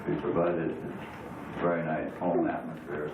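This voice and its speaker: A man of seventy-two reminiscing in a measured, halting way for a family oral history recording. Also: rumbling low, level tone. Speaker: family member recalling childhood memories. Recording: tinny, very echoey, distant, noisy home recording.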